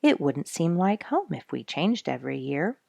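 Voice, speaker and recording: Woman reading as a thoughtful, low-voiced male bluebird. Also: sing-song, normal speed, gravelly, mellow, no room sound, clean studio sound, full character voice. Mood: thoughtful, worried. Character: thoughtful, worried male bluebird, devoted homebody.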